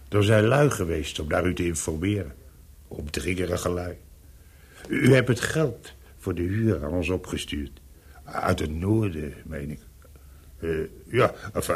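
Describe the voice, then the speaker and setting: Gravelly, deep hotel servant. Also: male voice, full character voice. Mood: discreet, matter-of-fact. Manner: deferential, hesitant, dry. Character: discreet, worldly-wise male Paris hotel servant. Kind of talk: radio play acting.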